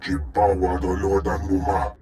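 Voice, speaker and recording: An actor playing a ponderous male alien in a video game, speaking an invented tongue deliberately, with ambient noise behind. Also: low voice, completely flat delivery, warm tone, faint music behind, natural voice.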